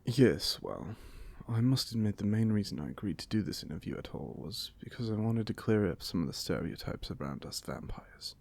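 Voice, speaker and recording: A man's voice: on the low side, very animated, normal speed, vocal fry, darkish tone, exaggerated voice. A man playing a playful, chatty old vampire with a British accent in an ASMR audio roleplay, speaking in a theatrical, genteel, jokey way. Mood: wry, confiding. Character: playful, chatty 127-year-old male vampire being interviewed.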